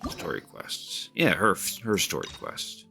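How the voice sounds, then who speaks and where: A man streams a game with casual, offhand commentary. His voice is exceptionally deep yet bright-edged and varied in intonation, with light music behind. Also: very animated, measured pace, creaky.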